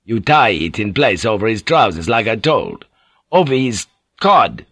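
Guy voicing a crude loud sailor, boatswain type, leery and blustery, dry close sound. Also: fairly low pitch, big pitch swings, measured pace, husky, no room sound, heavily acted. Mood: offhand. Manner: coarse, blustering, lewd. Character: crude leering middle-aged male ship's boatswain. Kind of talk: audiobook character voice.

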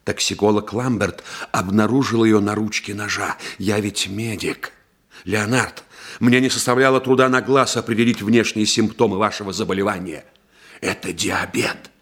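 Shrewd doctor-detective, low husky voice, controlled, deliberate, accusing, theatrical radio drama. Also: male voice, steady tone, fairly bright tone. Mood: accusing, explanatory. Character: astute, composed doctor-detective confronting a killer. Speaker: actor in a radio play. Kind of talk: measured theatrical Russian radio drama acting.

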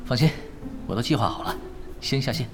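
A man's voice: medium pitch, brisk, background noise, lightly acted. An actor playing a cool, cocky young male gamer who plans with unruffled, teasing confidence in an audio drama. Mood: self-assured. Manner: unruffled, teasing, self-assured. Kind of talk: Chinese audio drama acting.

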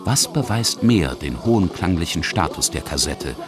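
Male documentary narrator, bass-leaning register, scripted read over a music bed. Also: expressive, moderate pace. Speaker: feature author and narrator. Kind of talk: scripted radio feature narration. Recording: music under the voice.

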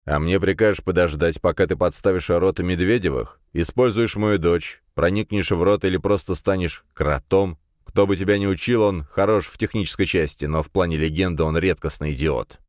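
A man's voice: deep voice, moderate pace, few pauses, a little mellow, dry recording, clean studio sound, natural voice. A man voicing a stern middle-aged head of a noble clan in an audiobook, curt and coldly threatening. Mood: cold menace.

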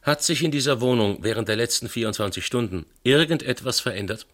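An actor playing a dry, impatient police inspector who questions methodically, in a radio crime drama. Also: male voice, mid-range voice, lively intonation, brisk, a bit bright, natural voice. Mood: probing.

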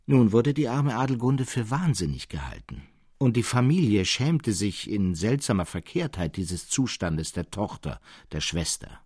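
A man reading a classic literary tale as scripted narration, in an expressive, precise storytelling manner. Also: on the low side, unhurried, slightly rough, no echo, studio quality. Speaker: audiobook narrator.

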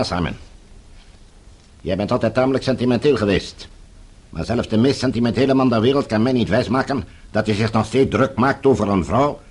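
An actor in a radio play voicing a cold communist agent, measured and quietly threatening. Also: male voice, lowish voice, breathy edge. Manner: controlled, measured, veiled threat. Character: cold, menacing male communist state agent interrogating old acquaintance.

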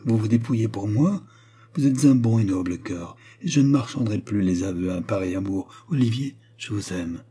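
Man playing an emphatic, imperious queen, husky. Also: on the low side, animated, moderate pace, dark tone. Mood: impassioned. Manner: commanding, emphatic, theatrical. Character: proud, imperious queen, defiant and wounded in love. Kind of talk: dramatized historical novel reading.

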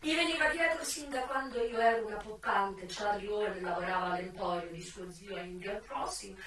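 A woman's voice: medium pitch, a little fast, a crowd in the background, voice pushed down, natural voice. An actress playing a worldly madam who recalls her youth in a frank, sensual, intense theatrical narration. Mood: hushed, reflective. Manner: dramatic, intense, swinging from hushed to loud. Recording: echoey, distant stage recording with music.

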